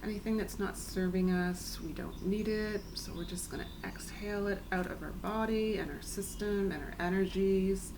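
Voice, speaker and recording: A woman with a raspy voice today, giving a chatty, soothing tarot reading to her viewers. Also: medium pitch, fairly even intonation, normal speed, few pauses, noisy background. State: raspy, clearing throat. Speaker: tarot reader. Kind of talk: casual spiritual reading.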